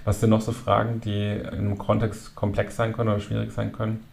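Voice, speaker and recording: A man hosting an interview, asking thoughtful, measured questions in a conversational tone. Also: lowish voice, monotone, creaky, darkish tone. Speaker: interviewer, host.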